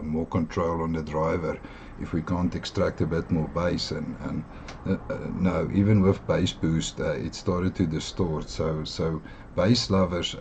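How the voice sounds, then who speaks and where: Very deep-voiced South African man reviewing gear. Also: darkish tone, slight background noise. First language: Afrikaans. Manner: halting, many fillers and restarts, enthusiastic asides. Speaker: earphone reviewer. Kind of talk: casual product review.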